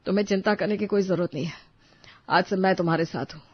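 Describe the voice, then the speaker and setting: An actor voicing a remorseful, supportive husband speaking earnestly in an animated moral story. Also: male voice, sits high, fast, husky, no room sound, highs cut off. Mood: earnest, apologetic.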